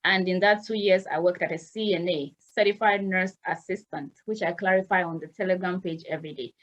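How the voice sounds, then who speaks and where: A woman's voice: fairly low pitch, steady tone, brisk. A woman hosts an informal video call and explains things step by step. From Ghana. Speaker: host of a nurse consulting Zoom session.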